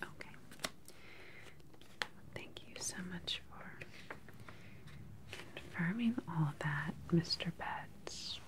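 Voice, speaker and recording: Slow whispering woman as a research doctor. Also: on the low side. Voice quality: breathy, unvoiced whisper. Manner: gentle, methodical, measured questioning. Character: calm government research doctor interviewing a patient. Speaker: ASMR roleplay performer playing a doctor. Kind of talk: whispered ASMR medical exam roleplay. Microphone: close microphone.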